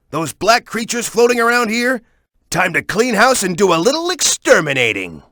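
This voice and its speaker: A hot-headed young male video game hero with a high, bright voice. He is brash and urgent, swinging widely in pitch as he punches out his lines. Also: no room sound, heavily acted. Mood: urgent, alert. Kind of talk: video game character voice clips.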